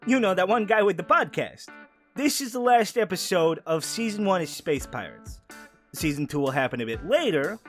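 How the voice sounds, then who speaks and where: A man playing an animated podcast guy. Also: sits high. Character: chatty upbeat podcast guy addressing listeners. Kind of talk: outro announcement in character. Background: guitar music.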